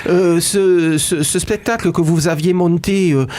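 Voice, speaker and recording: A man's voice: high voice, steady tone, a little slow, darkish tone. A man hosting a radio talk show from a studio, introducing guests with many hesitations and fillers. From France. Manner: many "euh" fillers and repeated words. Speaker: radio host. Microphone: studio microphone.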